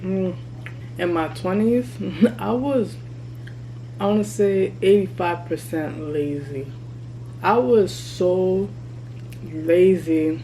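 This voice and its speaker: A woman in her thirties talking candidly to her vlog camera while she eats, chatty and relaxed. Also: on the low side, takes it slowly, long pauses, a little hiss or hum, rough recording. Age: 30s. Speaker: vlogger. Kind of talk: casual mukbang vlog chat. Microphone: camera mic.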